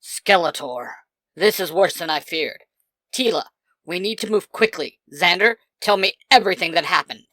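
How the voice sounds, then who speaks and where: A man's voice: high-pitched, animated, normal speed, sharp tone, no echo, pitched above the performer's own voice, rough recording. A man voicing a stern, commanding military strategist in a story reading, firm and urgent. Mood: grave, urgent. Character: stern, commanding middle-aged military strategist and father figure.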